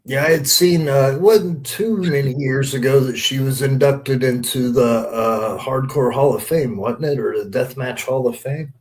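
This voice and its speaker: A man's voice: mid-range voice, moderate pace, few pauses, a little mellow. A man co-hosting a podcast, chatting casually and plugging things in a joking, loose-tongued manner. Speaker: podcast co-host. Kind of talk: casual chat with promotional plugs.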